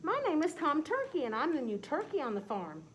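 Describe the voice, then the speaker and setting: A woman's voice: high-pitched, sing-song, a little fast, fairly bright tone, slight background noise, pitched above the performer's own voice. A woman voicing an eager but unsure young male turkey, polite and earnest in a storybook reading. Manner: polite and earnest, a bit timid.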